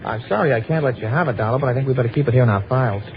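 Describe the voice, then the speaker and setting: A helpful American police sergeant, acted by a man rattles along quickly in a folksy, matter-of-fact way. It has the dull, lo-fi sound of vintage radio drama. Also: medium pitch, background noise, lightly acted. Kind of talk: 1950s radio drama acting. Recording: dull old radio recording.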